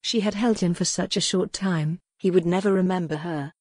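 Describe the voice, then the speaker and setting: A woman's voice: fairly low pitch, normal speed, darkish tone, breathy edge, no room sound, clean studio sound. A woman narrating a historical romance audiobook in a scripted, dramatic storytelling style.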